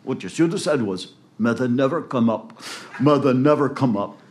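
A man's voice: mid-range voice, expressive, normal speed, a little mellow, pitched above the performer's own voice, close to an everyday voice. A man voicing a hungover, aggrieved man scolding someone in an Irish folktale, with a put-on Northern Irish accent. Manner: reproachful.

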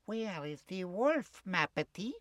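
Smug storybook cat husband, played by a man: superior, patronizing, slow, French touch, lively intonation. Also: high-pitched, dry recording, exaggerated voice. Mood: puzzled, doubting. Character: smug, condescending male cat husband, lightly French-flavoured.

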